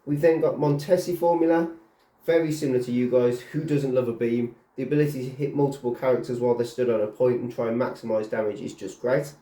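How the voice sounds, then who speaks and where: Mellow-voiced relaxed man. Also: medium pitch, fast. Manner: relaxed, hedging with "kind of". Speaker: guest player. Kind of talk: casual explanatory chat. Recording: roomy.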